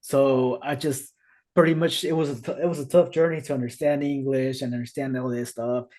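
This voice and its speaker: A man's voice: medium pitch, fairly even intonation, fairly quick, a little mellow. A man whose first language is Spanish, hosting a podcast over a video call and telling his own story earnestly, with many restarts. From United States. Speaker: podcast host. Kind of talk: casual conversation.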